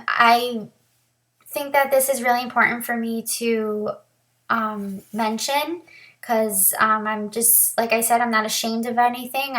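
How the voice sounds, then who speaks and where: A young woman with a raspy, dry throat after surgery, talking candidly to camera in a casual vlog, with frequent pauses and restarts. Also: fairly high pitch, sharp tone. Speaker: vlogger sharing her surgery recovery.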